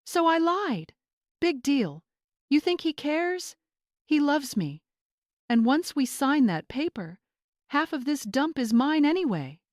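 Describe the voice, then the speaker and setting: A woman voicing a scheming, spiteful young bride in a dramatic story, pushing her words forcefully. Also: high voice, sing-song, slow pace, no echo, studio quality, pitched above the performer's own voice, full character voice. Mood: venomous, defiant.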